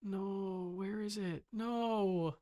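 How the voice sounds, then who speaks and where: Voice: medium pitch, drawn-out words, dark tone. A livestreamer chatting casually and muttering to themselves in a scattered, self-interrupting way.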